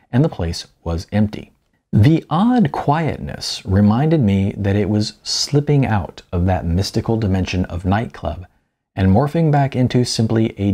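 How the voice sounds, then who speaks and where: Audiobook narrator: man, husky and low, wry conversational delivery with wide-swinging intonation. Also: mellow. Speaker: author narrating own audiobook. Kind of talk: expressive first-person novel narration.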